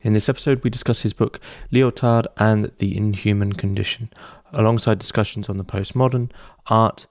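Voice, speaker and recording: A man's voice: fairly low pitch, fairly even intonation, moderate pace, no echo. Dry-sounding male podcast host, hesitant. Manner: hesitant, many fillers and restarts. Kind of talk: casual interview.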